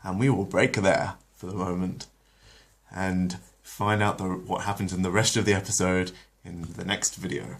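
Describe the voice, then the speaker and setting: A very deep-voiced man reads a retold story in a relaxed storybook manner. When he steps off the script, he talks casually and hesitantly, with many pauses. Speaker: storyteller, channel host. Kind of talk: reading a retold episode aloud, then casual chat.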